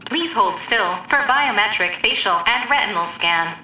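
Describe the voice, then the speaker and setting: A woman voicing a polite, impersonal security computer in an audio drama, speaking in a formal, measured, automated way. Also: mid-range voice, lively intonation, sharp tone, reverberant, faint music behind, poor audio.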